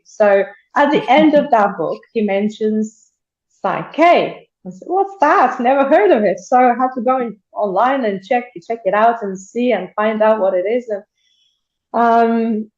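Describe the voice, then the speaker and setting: A woman's voice: fairly high pitch, darkish tone, reverberant, dull audio. A woman from Bulgaria telling her story as a guest in a video call interview, chatty, with frequent laughs and restarted sentences.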